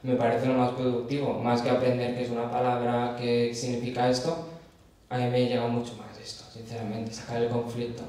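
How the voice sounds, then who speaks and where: A man's voice: mid-range voice, monotone, a little fast, warm tone. A man from Catalonia, a forum theatre participant, talking earnestly at an open forum. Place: theatre auditorium. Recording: echoey hall, voice from far off.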